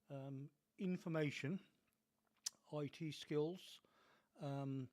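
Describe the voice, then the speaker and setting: A man's voice: a little high, darkish tone. A male radio presenter with a slightly dry voice, talking unscripted with frequent ums and uhs. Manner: hesitant, many ums and uhs. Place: radio studio.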